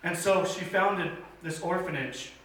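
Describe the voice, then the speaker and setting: A man tells a story at church story time with an earnest, measured delivery, pausing now and then. His voice is bright and fairly high, caught by a distant mic in a very echoey hall with faint room noise behind him. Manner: earnest, measured telling with pauses and fillers. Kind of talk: children's story time retelling. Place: church sanctuary.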